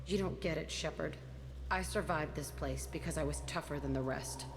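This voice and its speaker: An actress playing a tough, bitter young ex-prisoner in a video game, blunt and hard-edged. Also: female voice, low-pitched, normal speed, in a large hall, background noise. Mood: bitter, guarded.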